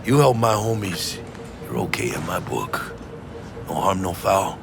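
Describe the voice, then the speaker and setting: A man's voice: sits low, big pitch swings, measured pace, vocal fry, crowd noise, exaggerated voice. A male actor voicing a brash, big-hearted street mercenary in a video game, with a Mexican American accent and slangy talk sprinkled with Spanish. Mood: friendly. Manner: slangy, swaggering, Spanish words mixed in.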